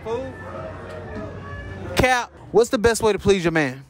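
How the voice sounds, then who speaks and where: A man's voice: high-pitched, lively intonation, a little slow. A young man, a street interviewer asking playful questions with a teasing, jokey manner, recorded at night in an arcade and outside on a city street. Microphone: handheld phone.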